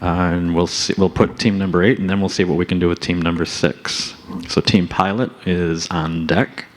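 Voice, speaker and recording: A man's voice: sits low, steady tone, moderate pace, a little hiss or hum. A man emceeing a hackathon presentation session on a handheld mic, making loose joking asides. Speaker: event emcee. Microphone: handheld microphone.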